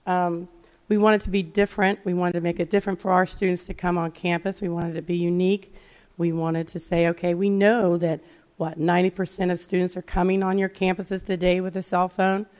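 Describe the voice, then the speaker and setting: A middle-aged woman giving a conference presentation, hoarse from losing her voice, chatty with many fillers. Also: fairly low pitch, level tone, fairly quick, a little mellow. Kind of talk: conference talk. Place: conference session room.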